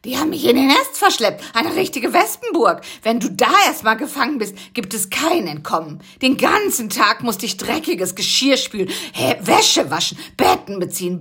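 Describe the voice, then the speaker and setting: A woman voicing a cowardly male assassin bug thief who whimpers and pleads in a very high voice. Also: fairly bright tone, breathy edge, exaggerated voice.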